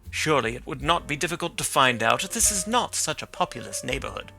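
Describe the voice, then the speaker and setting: A man reading an audiobook, voicing a confident, sharp detective who speaks in crisp, assured statements. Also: big pitch swings, fairly quick, soft background music, full character voice. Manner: crisp, assured statements, sometimes low murmurs to himself.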